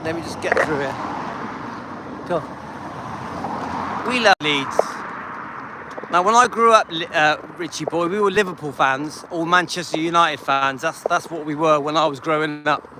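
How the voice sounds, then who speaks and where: A man's voice: on the high side, moderate pace, long pauses, background noise. An English man live streaming on a dog walk, chatting with viewers and reading out their comments in a rambling, friendly way. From England.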